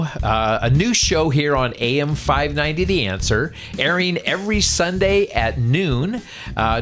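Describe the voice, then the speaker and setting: A man's voice: on the high side, fairly bright tone. A man moderating a radio talk show in a conversational, somewhat hesitant way.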